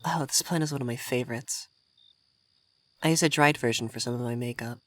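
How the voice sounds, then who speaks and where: A man's voice: medium pitch, brisk, dry recording, lightly acted. A man playing a young effeminate vampire mage in roleplay ASMR, vain and refined, coy and easily flustered in an intimate delivery. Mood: proud, refined.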